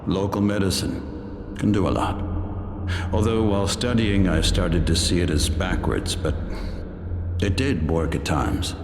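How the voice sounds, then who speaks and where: A man's voice: deep voice, a little slow, pauses often, a little mellow, soft background music. An actor playing a subdued, earnest, questioning male healer in a video game. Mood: measured. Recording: echoing hall, distant, noisy background.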